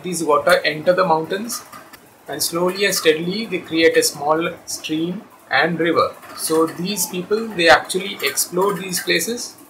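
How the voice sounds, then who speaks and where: A man's voice: on the high side, sharp tone, a little hiss or hum, poor audio. A man, presenting to camera in a small room and explaining things in simple short phrases. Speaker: host, cartoonist and illustrator. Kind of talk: scripted-style video introduction to camera. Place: small plain room indoors.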